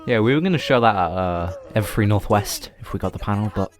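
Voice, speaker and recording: A man's voice: fairly low pitch, expressive, fast. A man co-hosting a casual audio commentary with brief, wry remarks. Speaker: commentary co-host.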